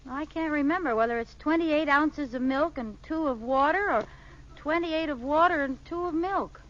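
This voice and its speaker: An actress playing a scatterbrained, wheedling housewife in an old radio sitcom, coy and breezily evasive with comic timing. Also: female voice, sits high, normal speed, close to an everyday voice. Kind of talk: 1950s radio sitcom acting. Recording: muffled, hissy old radio recording.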